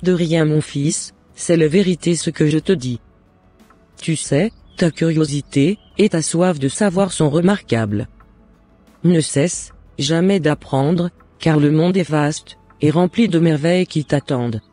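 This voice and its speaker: A man's voice: fairly high pitch, a little slow, dark tone, no room sound, soft background music. A man playing a loving, devout mother in a cartoon, giving earnest and encouraging advice in formal words. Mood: tender, proud. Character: devout, loving mother advising her son. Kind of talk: scripted cartoon dialogue.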